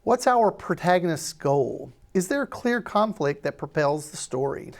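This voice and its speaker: A woman's voice: fairly low pitch, animated, moderate pace, creaky, a little mellow. A woman presenting a screenplay table read with a scripted introduction, introducing cast and questions.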